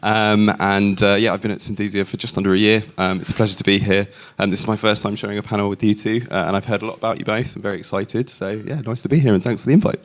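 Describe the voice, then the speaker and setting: Fast-talking deep-voiced London man. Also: steady tone. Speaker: panelist. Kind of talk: live panel discussion.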